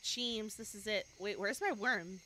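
A woman's voice: medium pitch, measured pace, crowd noise. A woman streaming a game, chatting and teasing her friends, swearing freely, with game music behind. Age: older than her friends. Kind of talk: casual gaming chat with friends.

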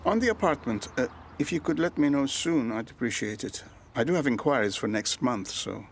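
A male landlord and painter in a radio soap. He speaks formally and courteously at a middling pitch, keeping something back behind the politeness. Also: lively intonation, fairly quick, creaky, slight background noise. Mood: guarded. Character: courteous, guarded landlord and painter, faintly secretive.

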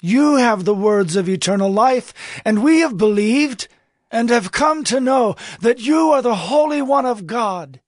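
Devout disciple for scripture drama: extremely high register, slow, deliberate, fervent. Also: male voice, lively intonation, no room sound, clean studio sound, heavily acted. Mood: devout pleading.

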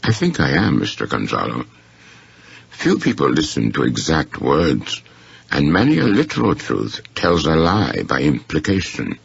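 A man voicing a courteous, shrewd, unflappable gentleman in a story, speaking formally and with deliberate precision. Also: extremely low pitch, huge pitch swings, vocal fry, husky, no echo, lo-fi sound, full character voice. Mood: gently pointed.

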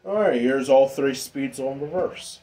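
Slow-talking man, casual hobby demonstration. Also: mid-range voice, warm tone, rough recording. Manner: unhurried, rambling, informal. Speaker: ceiling fan hobbyist vlogger. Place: indoor room with exposed pipes and ductwork.

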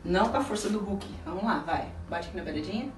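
A woman's voice: medium pitch, fast talker. A middle-aged woman, chatting patiently through a home cooking demo with a child and explaining steps to viewers. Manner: chatty, patient, coaxing and encouraging. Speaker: cooking show host and aunt. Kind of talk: casual cooking demonstration with a child. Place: small home kitchen, indoors. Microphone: camera held by a helper a few feet away. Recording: roomy kitchen, distant camera, music behind.

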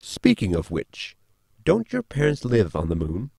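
A man's voice: on the low side, huge pitch swings, unhurried, vocal fry, dark tone, no echo, clean studio sound, heavily acted. A man playing a polite, pedantic robot crewmate who explains things in a sci-fi comedy audio drama. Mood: dutiful. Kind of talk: sitcom audio drama acting.

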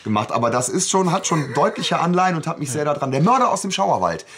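A man's voice: on the high side, very animated, fast talker, over a noisy crowd. A man co-hosting a podcast, chatting casually about audio dramas in a colloquial, opinionated way. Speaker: podcast co-host.

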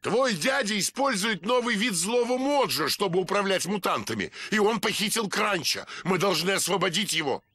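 Nervous mask spirit, bright lively voice, game music under. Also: male voice, sits high, moderate pace, hardly any pauses, breathy edge, no echo, full character voice. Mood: urgent. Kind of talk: Russian game dub acting.

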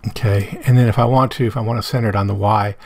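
A middle-aged man with a hoarse voice, explaining a software tutorial step by step over his screen recording. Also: lowish voice, fairly even intonation, fast, no echo. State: hoarse from medication.

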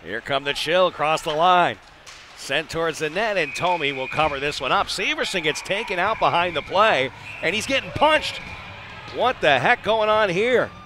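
A man calling live ice hockey play-by-play in clipped phrases, excitable when the action heats up. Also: fairly high pitch, normal speed. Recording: live arena broadcast with background noise.

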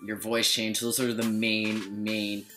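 A young man from Canada chats casually to his viewers, laid-back and joking, sometimes trailing into mumbles. His voice is bright and forward. It is recorded on a webcam in a small bedroom with faint music behind. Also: fairly low pitch, completely flat delivery, slow. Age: young adult. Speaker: vlogger. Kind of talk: casual chat.

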